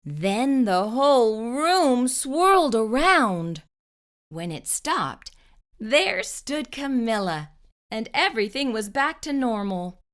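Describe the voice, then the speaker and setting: Lively, high-pitched woman reading a storybook. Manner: deliberate, dramatic pauses. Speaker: children's storytime host reading aloud. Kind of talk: expressive storybook narration.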